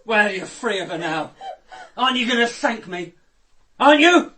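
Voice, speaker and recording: An actor playing a bitter, contemptuous murderer holding hostages, with a British accent and a taunting manner, in a radio drama. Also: male voice, sits high, expressive, moderate pace, pushed and bright, full character voice. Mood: callous, taunting. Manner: sneering, taunting.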